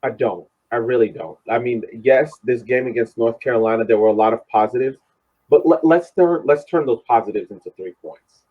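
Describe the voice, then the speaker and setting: A man's voice: medium pitch, a little fast, husky. A man co-hosting a sports podcast in casual discussion, emphatic and repeating phrases for stress, on slightly dull audio. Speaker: podcast co-host, soccer coach.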